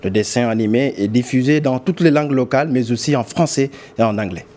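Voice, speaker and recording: A man's voice: mid-range voice, brisk, darkish tone. A man presenting news reports in a semi-scripted broadcast style. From Senegal.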